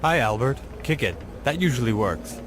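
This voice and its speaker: A man's voice: medium pitch, very animated, normal speed, background noise, natural voice. An actor playing a blunt, casual, cynical but friendly male buddy in a video game cutscene. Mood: breezy.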